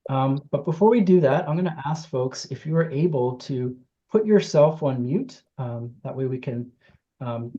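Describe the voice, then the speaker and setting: A host informally welcoming people to an online meeting, speaking haltingly and searching for words. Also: mid-range voice, expressive, dark tone. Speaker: host, justice team director. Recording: dull, lo-fi meeting audio.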